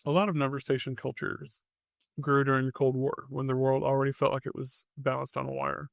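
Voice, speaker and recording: Gravelly man narrating a mystery podcast. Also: medium pitch, level tone, fairly quick, dry recording, studio quality. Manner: wry asides, short dramatic phrases. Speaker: podcast host.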